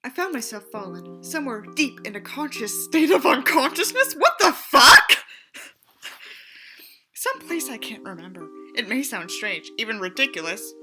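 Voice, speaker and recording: A woman with a fairly high, very bright voice reads a book aloud in a theatrical, sardonic way. Her pitch swings freely as she moves between narration and profane, mocking commentary. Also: sing-song, moderate pace, soft background music. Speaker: audiobook reader and commentator. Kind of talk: dramatic book reading with sarcastic, profane commentary.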